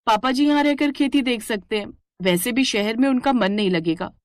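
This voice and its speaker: A woman voicing a spoiled young city daughter-in-law, whiny, haughty and demanding, in an animated family story. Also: on the high side, moderate pace, dry recording, natural voice. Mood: dismissive.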